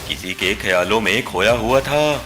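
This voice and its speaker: A man's voice: lowish voice, expressive, moderate pace, fairly bright tone, soft background music. A man voicing a lovestruck, scheming half-man half-spider in a cartoon, with theatrical delivery. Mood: smitten, coy. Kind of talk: Hindi cartoon story dubbing.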